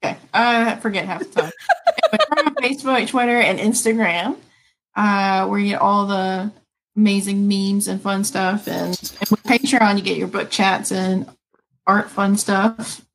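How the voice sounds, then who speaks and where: A woman's voice: mid-range voice, steady tone, fairly bright tone, a little hiss or hum, poor audio. Playful Southern woman co-host. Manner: playful, quick, breaks into silly sounds. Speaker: podcast co-host. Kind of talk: casual podcast chat.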